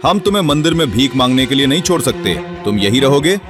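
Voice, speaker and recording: A male actor plays a stern old family patriarch in an audio drama. His mid-pitched voice is firm and commanding, with music clearly underneath. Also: sing-song, fairly quick, natural voice. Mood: stern, grudging. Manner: authoritative, firm. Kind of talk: Hindi audio drama acting.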